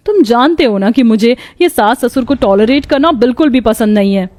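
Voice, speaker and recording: Woman playing haughty, high-voiced young city wife. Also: expressive, a little fast, darkish tone, close to an everyday voice. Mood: annoyed. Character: selfish, haughty young city daughter-in-law.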